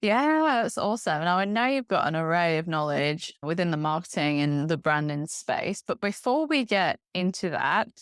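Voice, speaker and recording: A woman hosts an interview, friendly and encouraging as she draws out her guest. Her voice sits a little low, smooth and resonant. The recording is dry and close. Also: animated, normal speed, few pauses, no echo. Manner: friendly, encouraging, laughs along. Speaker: podcast host. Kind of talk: interview hosting.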